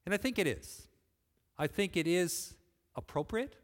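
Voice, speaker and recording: A man from West Michigan preaching a sermon in a church, earnest, pushing phrases up and then letting them fall quiet. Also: sits high, animated, unhurried. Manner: earnest, rising and falling emphasis, quiet closing phrases. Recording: long hall reverb.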